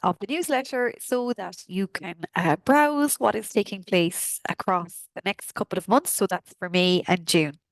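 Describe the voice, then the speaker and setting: A woman from Kerry with a Kerry Irish accent, hoarse from a sore throat, chattily walking through an events newsletter. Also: medium pitch, moderate pace, hardly any pauses, no echo, rough recording. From Kerry, Ireland. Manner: chatty, friendly, frequent ums and uhs. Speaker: arts office presenter.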